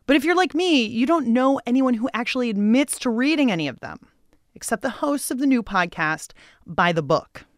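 A woman's voice: fairly high pitch, expressive, a little fast, dry recording, studio quality. A woman with an American accent hosting a radio show, reading scripted narration in a playful, conversational way. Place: dry studio.

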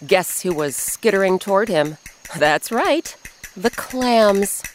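A woman narrating a children's folktale as an expressive storyteller and host who addresses the listener. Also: medium pitch, takes it slowly, a little hiss or hum. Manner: animated, audience-addressing storyteller.

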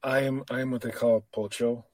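A middle-aged man, a coach and podcast guest, talking candidly and reflectively in a relaxed conversation. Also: mid-range voice, brisk, no echo. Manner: reflective, candid, self-deprecating. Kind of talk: casual conversation.

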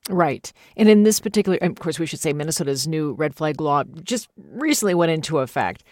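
An American man with a slightly high voice works as a radio host. He interviews and presents in a conversational public radio style. The sound is very dry. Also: animated, fairly quick, dry recording.

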